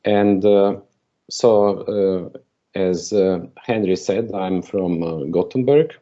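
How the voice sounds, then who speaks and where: Croatian accent guy giving a keynote on a video call, deep voice, lots of uhs, muffled audio. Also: takes it slowly, mellow. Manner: hesitant, many filler sounds and restarts. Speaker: keynote speaker. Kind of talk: conference keynote talk over video call.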